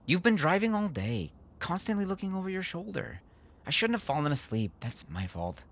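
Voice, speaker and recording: A man's voice: high voice, big pitch swings, normal speed, a bit bright, voice pushed up, exaggerated voice. A man voicing a concerned, firm and teasing woman companion in an audiobook, reassuring and insistent.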